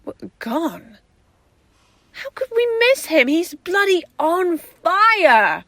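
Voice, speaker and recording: Audio drama female agent played by a woman: high, animated, worried, unhurried pace. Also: dry recording, full character voice. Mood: incredulous. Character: concerned British woman agent, prim but excitable.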